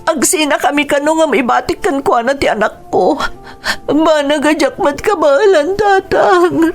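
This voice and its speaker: A young mother in a radio melodrama, speaking high with a Filipino accent. She is anguished and pleading, heavy with emotion. Music plays softly beneath her. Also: a little slow. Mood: tearful pleading. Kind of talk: Ilocano radio drama acting. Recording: music bed under the dialogue.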